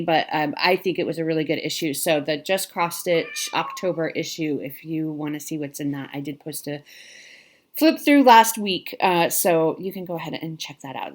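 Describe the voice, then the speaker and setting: Low-voiced congested woman, chatty vlogger. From Pacific Northwest US. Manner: chatty, many ums and restarts. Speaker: cross stitch vlogger. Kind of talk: casual chat to camera.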